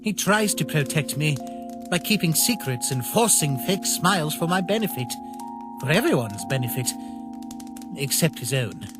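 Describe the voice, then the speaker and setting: A man voicing a naive, caring younger-brother skeleton in a comic dub, speaking earnestly and haltingly in a soft plea. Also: a little high, sing-song. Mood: pained, tender. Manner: earnest, halting, softly pleading. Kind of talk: dramatic comic dub acting. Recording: voice over background music.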